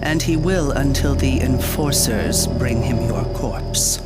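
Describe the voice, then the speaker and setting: An actor playing an earnest, guarded ally from a secret order in a video game, speaking in measured, hushed tones. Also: male voice, mid-range voice, lightly acted. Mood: guarded, concerned. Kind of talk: video game cutscene dialogue. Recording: loud music behind.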